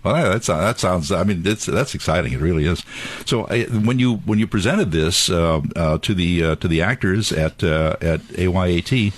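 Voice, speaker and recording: Radio host, deep and husky, halting delivery with lively swooping pitch. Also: male voice. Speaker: radio interviewer. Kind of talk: casual radio interview.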